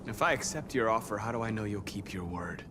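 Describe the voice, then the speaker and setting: A man's voice: lowish voice, fast talker, noisy background, natural voice. A man playing a resolute android rebel leader in a video game, speaking with grave, earnest restraint. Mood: wary, resolute. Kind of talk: cinematic video game acting.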